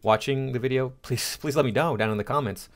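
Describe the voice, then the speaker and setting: A middle-aged American man giving casual, indignant opinion commentary as a channel host, often halting and repeating himself. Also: medium pitch.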